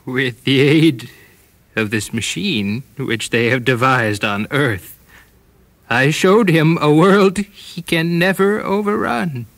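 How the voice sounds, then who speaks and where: A slow, creaky, solemn challenger. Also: male voice, medium pitch, a bit bright, dry recording. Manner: slow, formal, deliberate. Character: solemn, unyielding sage-like challenger of a galactic conqueror. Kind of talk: radio drama acting.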